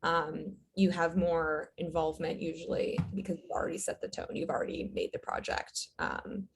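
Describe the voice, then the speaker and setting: A woman, a writer and director, talking as an interview guest in a relaxed, thoughtful way with many fillers. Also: deep voice, normal speed, gravelly, husky, faint music behind. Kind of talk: casual interview chat.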